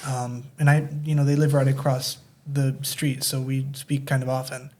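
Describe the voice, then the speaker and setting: Flat-voiced Spanish-born man, hesitant. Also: mid-range voice, moderate pace. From Spain. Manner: hesitant, with many "um"s and "you know"s. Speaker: podcast guest. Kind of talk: casual chat.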